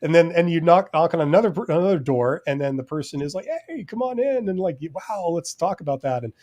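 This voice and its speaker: A man co-hosting a casual live-stream chat, talking in a relaxed, rambling way with many fillers. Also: a little high, brisk. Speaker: podcast co-host.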